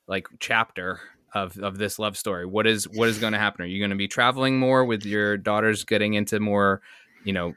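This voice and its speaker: An American man hosts a casual podcast chat in a clean, lowish voice. The sound is dry and close. He is enthusiastic and tends to wander through his thoughts as he talks. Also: bright, forceful tone, smooth, dry recording. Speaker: podcast host. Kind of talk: casual conversational interview.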